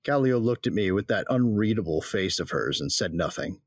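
A man's voice: low-pitched, lively intonation, normal speed, breathy edge, dry recording, clean studio sound. A man narrating as a wry, laid-back male bounty hunter in an audio drama.

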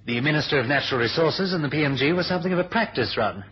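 An actor playing a deferential, composed British security official in a 1970s radio drama, speaking formally. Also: male voice, a little high, lively intonation, very fast, husky. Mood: formal, assured. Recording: dull radio recording with background noise.

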